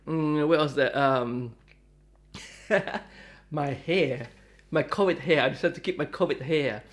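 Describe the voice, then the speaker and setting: A man's voice: on the high side, slow pace. Rambling, friendly middle-aged man host. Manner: rambling, friendly, many fillers and restarts. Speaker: guitar dealer and channel host. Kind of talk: casual chat to camera. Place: home in Melbourne, Australia.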